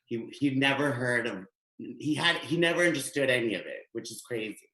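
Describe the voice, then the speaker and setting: Animated male stand-up on a webcam; bright tone, roomy echo. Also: medium pitch, normal speed. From Guatemala. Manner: chatty, animated, gesturing. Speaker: stand-up comedian. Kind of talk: stand-up set. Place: home room. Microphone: webcam, video call. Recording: echoey home room.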